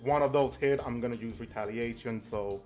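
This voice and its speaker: A man with a mid-range voice plays a tabletop game, rambling playfully as he thinks out loud. His chat is casual, and faint music plays underneath. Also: mid-range voice, a little fast.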